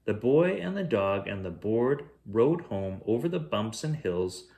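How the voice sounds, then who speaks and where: A man reading a children's picture book aloud as a bedtime storyteller, in a measured, expressive way. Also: fairly low pitch, creaky. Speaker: bedtime story reader, host.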